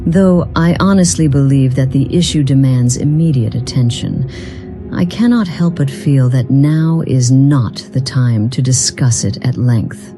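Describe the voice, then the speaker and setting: A woman playing a smug, self-assured, mysterious video game companion who speaks in a measured, formal, condescending way. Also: very deep voice, dark tone, soft background music. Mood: measured, serious. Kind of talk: scripted video game character dialogue.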